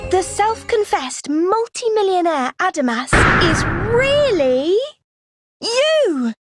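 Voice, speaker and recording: A woman playing a poised, confident young British detective in a game, explaining in measured, formal sentences. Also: very high voice, very animated, heavily acted. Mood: pointed, accusing. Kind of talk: scripted game voice acting. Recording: loud music under the voice.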